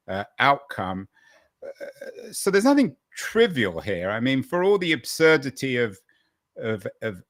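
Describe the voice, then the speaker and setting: A man's voice: fairly low pitch, a bit bright, no room sound. Male host, rambly probing questions, dry close sound. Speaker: podcast host and interviewer. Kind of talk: interview questions.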